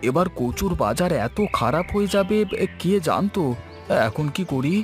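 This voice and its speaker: A man's voice: on the high side, expressive, moderate pace, soft background music, lightly acted. A man voicing a good-natured village farmer and doting father in a cartoon, folksy and full of exclamations. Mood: worried. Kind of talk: cartoon dialogue.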